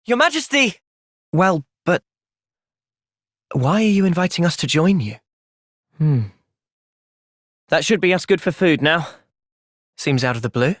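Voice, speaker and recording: A young man playing a thoughtful, earnest young soldier in video game cutscenes, speaking in a gentle, reflective manner. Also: a little high, lively intonation, a little slow, long pauses, dry recording, clean studio sound. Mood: urgent, intense, then calm, thoughtful.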